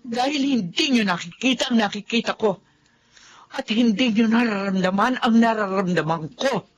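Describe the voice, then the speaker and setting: Radio drama mother, a woman acting, stubborn and defensive, husky tone. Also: on the high side, normal speed, soft background music, close to an everyday voice, rough recording. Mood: defiant. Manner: defiant, stubborn. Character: mother defending love for younger man.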